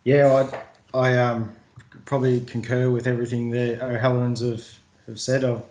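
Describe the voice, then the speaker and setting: A man speaking casually as a farmer on a panel, answering in a halting way with repeated words. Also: medium pitch, level tone, a little mellow. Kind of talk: casual question-and-answer talk. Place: indoors on a video call. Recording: roomy video-call echo.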